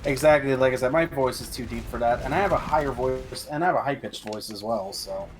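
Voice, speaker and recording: A man co-hosting a game stream, calmly commenting and explaining the game's mechanics. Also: mid-range voice, brisk. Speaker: co-host watching the gameplay. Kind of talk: casual gaming commentary. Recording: game music loud behind voice. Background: game music.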